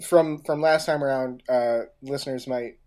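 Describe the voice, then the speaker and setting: A man co-hosting a casual podcast discussion, speaking haltingly and often pausing in the middle of a phrase. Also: mid-range voice, steady tone, a little mellow. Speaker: podcast co-host.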